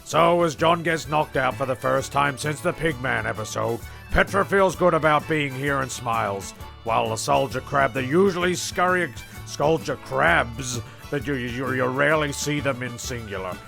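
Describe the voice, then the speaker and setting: A man's voice: medium pitch, moderate pace, vocal fry, pushed and bright. A man playing a testy, rambling, self-important narrator in a comic radio play, stumbling and grumbling as he goes. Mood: rambling storytelling. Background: music.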